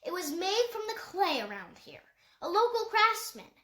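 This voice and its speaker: A woman voicing a wise, principled older woman with a measured, thoughtful manner in an audiobook story. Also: very high voice, sing-song, sharp tone, echoey room, voice pushed up, full character voice. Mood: musing. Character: wise, calm, principled older woman, firm yet gracious.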